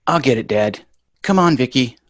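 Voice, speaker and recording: A man's voice: medium pitch, expressive, normal speed, dry recording, studio quality, lightly acted. Man playing a bratty, swoopy-voiced sitcom boy. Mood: exasperated. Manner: brash, sarcastic. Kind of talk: sitcom table read.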